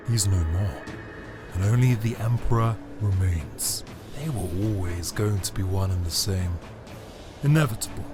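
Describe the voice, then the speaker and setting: Man acting a low-voiced, pompous tyrant emperor over music. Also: close to an everyday voice. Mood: grand, ominous. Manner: pompous, theatrical, deliberate pauses and condescension. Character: grandiose, cold tyrant Emperor, formerly a heroic Time Lord. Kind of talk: dramatic scripted monologue in an audio drama.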